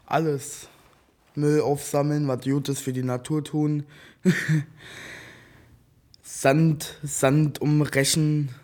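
Joking young Berlin man, fairly high. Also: flat delivery, extremely slow, long pauses, darkish tone. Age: young adult. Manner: loose, joking, slangy. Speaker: documentary subject. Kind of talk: casual street chat.

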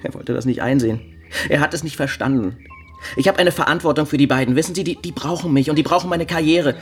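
An actor playing an evasive, anguished young footballer and father in a TV crime drama, speaking haltingly. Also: fairly high pitch, soft background music, lightly acted. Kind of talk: naturalistic German TV drama dialogue.

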